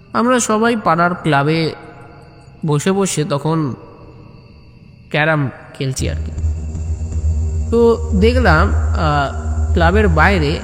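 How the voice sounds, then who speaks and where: Unhurried high-voiced man telling stories. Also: big-room sound. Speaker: narrator of comic audio story. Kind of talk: conversational first-person storytelling.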